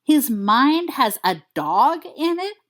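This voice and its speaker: A woman's voice: mid-range voice, big pitch swings, measured pace. A woman voicing a bewildered boy, talking in a shaky, incredulous way. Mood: alarmed.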